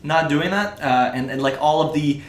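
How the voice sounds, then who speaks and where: A young man whose first language is French, co-hosting a podcast in casual, halting chat. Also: a little high, slight background noise. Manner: halting, many fillers and restarts. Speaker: podcast co-host. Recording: echoey room.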